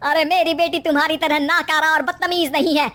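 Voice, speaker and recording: A sharp-tongued older mother-in-law from a cartoon tale, acted by a woman, speaks with nagging emphasis. Her voice sits high. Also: fairly even intonation, brisk, music in the background, lightly acted. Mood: indignant.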